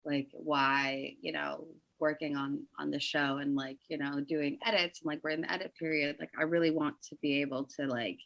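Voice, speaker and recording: A woman playwright and performer as a podcast guest, talking quickly and chattily with lots of "like". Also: low voice, steady tone, vocal fry, fairly bright tone, dry recording. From Toronto, Canada. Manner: quick, chatty, heavy use of "like". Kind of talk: casual podcast conversation.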